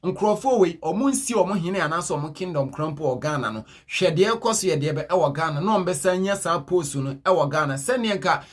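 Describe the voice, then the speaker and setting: A man narrating news in a steady reporting manner. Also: on the high side, moderate pace, few pauses.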